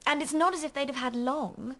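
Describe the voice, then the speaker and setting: An actress in a radio drama playing a candid, earnest young woman who speaks a little haltingly. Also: high voice, fairly bright tone. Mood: embarrassed, earnest. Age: young adult.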